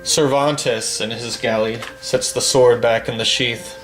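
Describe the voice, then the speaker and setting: A man reciting verse in an unhurried, declamatory way, his voice of middling pitch rising on the refrains. Faint music plays behind him. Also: steady tone, a bit bright, low-quality recording. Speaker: poetry reader and gamer. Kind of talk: poem recited from memory over gameplay.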